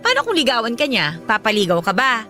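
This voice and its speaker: A woman's voice: on the high side, huge pitch swings, fast, bright, forceful tone, soft background music, exaggerated voice. An actress playing a bubbly, pushy young Filipino woman who teases and gushes in a radio drama. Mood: teasing, bossy. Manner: gushing, teasing, exclamatory. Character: bubbly, pushy young female friend teasing about romance.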